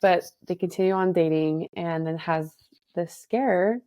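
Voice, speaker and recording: A woman therapist in her thirties speaks in a mellow, slightly low voice. She is reflective and careful, weighing her points as she goes. The recording is dry. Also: measured pace, dry recording. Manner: thoughtful, reflective, hedging. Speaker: therapist guest. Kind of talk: casual podcast conversation.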